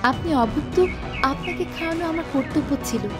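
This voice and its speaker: A woman's voice: high voice, moderate pace, natural voice. A woman playing a kind, stubborn young village girl in a cartoon fable, earnest and insistent. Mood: kindly musing. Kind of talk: Bengali cartoon fable voice acting. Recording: loud music under the voice. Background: music.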